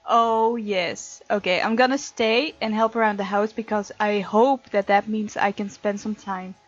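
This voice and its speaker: A woman's voice: mid-range voice, fairly even intonation, unhurried. A woman hosting a let's play of a visual novel, reading the game text aloud and commenting on it. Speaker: gaming host. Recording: dull lo-fi mic, game music underneath.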